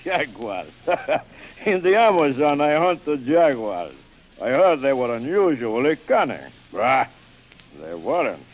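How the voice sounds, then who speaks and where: An actor playing a suave, proud general and big-game hunter, speaking in a courtly, theatrical way in a vintage radio drama. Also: male voice, medium pitch, big pitch swings, takes it slowly. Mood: proud, boastful. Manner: courtly, formal, theatrical, savouring his words. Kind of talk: 1940s radio acting. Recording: muffled, noisy vintage radio recording.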